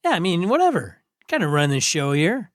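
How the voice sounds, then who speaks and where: A man co-hosting a casual gaming podcast, easygoing and quick to banter with his partner. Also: fairly high pitch, very animated, no room sound, clean studio sound. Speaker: podcast co-host.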